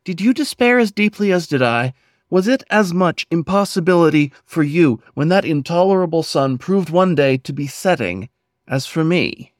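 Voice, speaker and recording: A man reciting his own poem in an earnest, measured, dramatic way, with deliberate pauses between phrases. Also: on the high side, lively intonation, dry recording, clean studio sound. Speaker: poet and podcast host reading own poem.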